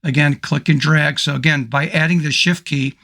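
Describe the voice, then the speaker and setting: A middle-aged man teaching photo editing over a screen recording, slightly hoarse and thinking aloud with relaxed ums and restarts. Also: a little high, normal speed. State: slightly hoarse, clearing throat. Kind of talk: screen-recorded software tutorial.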